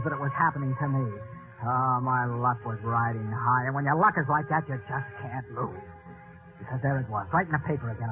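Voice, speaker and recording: An actor playing a cocky, desperate killer narrating in slangy, hard-boiled 1940s radio noir style. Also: male voice, mid-range voice, narrow, tinny audio, lightly acted. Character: cocky, desperate wife-killer convinced of his luck. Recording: old radio recording, thin band, music behind.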